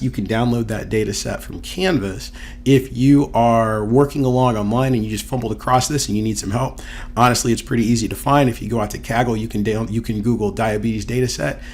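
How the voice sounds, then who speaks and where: Hoarse man teaching a coding tutorial. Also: mid-range voice, a little mellow, slight background noise. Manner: casual, friendly, thinks aloud with fillers. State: hoarse from a cold. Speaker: university instructor. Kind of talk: screen-recorded coding tutorial.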